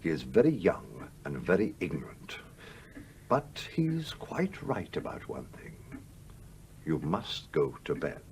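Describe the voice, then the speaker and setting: An actor playing the irascible, roguish ghost of a sea captain in a radio play, blustering and commanding. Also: male voice, extremely low pitch, huge pitch swings, slow, darkish tone, slight background noise, full character voice. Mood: grumbling. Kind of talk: British radio play acting.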